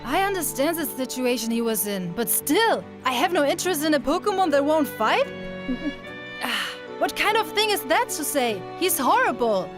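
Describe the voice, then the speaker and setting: A woman playing an earnest, expressive young female trainer in an anime-style manga dub. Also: high voice, moderate pace. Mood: spirited, teasing. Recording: music under the voice. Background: background music.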